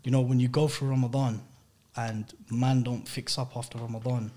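A man's voice: mid-range voice, level tone, normal speed, dark tone. A man with a London accent hosting a casual podcast, talking in slang and repeating phrases to drive his point home. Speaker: podcast host.

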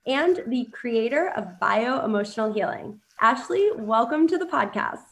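A woman hosting a podcast interview while sick, her voice raspy and a little loopy, upbeat and gushing. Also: on the high side, expressive, normal speed, a bit bright, low-quality recording. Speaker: podcast host.

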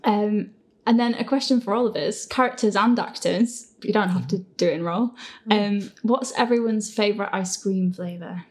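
A woman's voice: mid-range voice, normal speed. A woman hosting a casual group question-and-answer chat, prone to giggling as she talks. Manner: giggly, breaks into laughter while talking. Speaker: podcast Q&A host and show creator.

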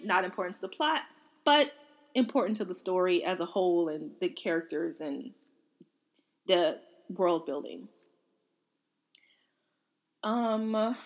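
A woman hosting a book podcast, casually recounting and analyzing a story in a conversational way, informally acting out the odd quote. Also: mid-range voice. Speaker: book reviewer and podcast host.

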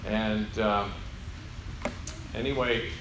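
An older man from Sweden with a Swedish accent, whose first language is Swedish, telling his personal testimony to a gathering in halting, unhurried English with fillers and soft laughs. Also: fairly low pitch. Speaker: guest speaker. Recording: echoey room with steady background noise.

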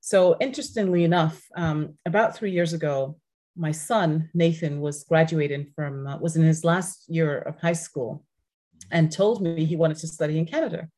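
A woman's voice: low voice, moderate pace, warm tone. A woman who grew up speaking French talks earnestly as a guest in a casual podcast interview, sometimes restarting her phrases.

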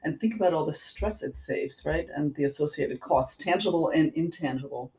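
A middle-aged woman with a German accent explaining things as an interview guest, in a measured, precise way. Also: low voice, warm tone. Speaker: interview guest, executive coach. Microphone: online call. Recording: roomy echo, distant microphone.

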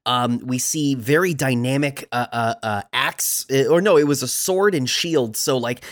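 A man co-hosting a fan podcast in casual chat, animated and chatty, with lots of fillers. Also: mid-range voice, normal speed. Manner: animated, many fillers, laughs easily. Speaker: podcast co-host.

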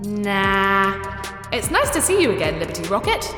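A woman's voice: mid-range voice, sing-song, moderate pace, a bit bright. Swooping, bratty female AI. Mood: playful taunting. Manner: childlike taunting, theatrical, sing-song. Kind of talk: science fiction audio drama acting. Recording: echoey, long reverb, music behind.

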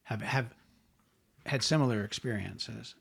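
A man hosting a podcast interview, giving short encouraging replies and asking gentle questions. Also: mid-range voice, a little slow, creaky, husky. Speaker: podcast host. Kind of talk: casual interview.

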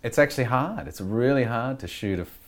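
A man's voice: medium pitch, fairly quick. A middle-aged Australian man talking candidly in an interview, rambling with frequent restarts and "you know" fillers. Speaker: actor being interviewed. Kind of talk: reflective conversational interview.

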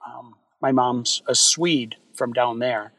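Man, from Minnesota, podcast guest, loose rambling talk, mid pitch, dry close sound. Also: takes it slowly, dry recording. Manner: relaxed, rambling with many fillers. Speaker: guest, wildfire fighter. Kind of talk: casual interview chat.

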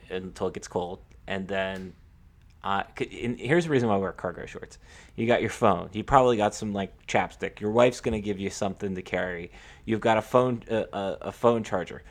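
A young American man co-hosting a podcast, talking casually about sports with a halting, repetitive storytelling manner. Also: on the low side. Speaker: podcast co-host.

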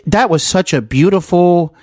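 A man's voice: high voice, normal speed, a little mellow, no room sound, clean studio sound. A man hosting a podcast interview conversationally, with an admiring, attentive manner.

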